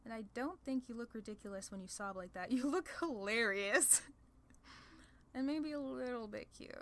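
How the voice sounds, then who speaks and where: A woman's voice: a little high, moderate pace, fairly bright tone. A woman playing a haughty, sly female demon lord in an ASMR roleplay, condescending and playfully theatrical. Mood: teasing amusement.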